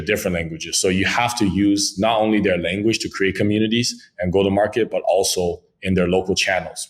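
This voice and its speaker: A man from Congo, a French speaker, answering podcast questions about his crypto company in fluent, jargon-heavy talk. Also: low-pitched. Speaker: crypto company founder, guest. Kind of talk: podcast interview, business pitch.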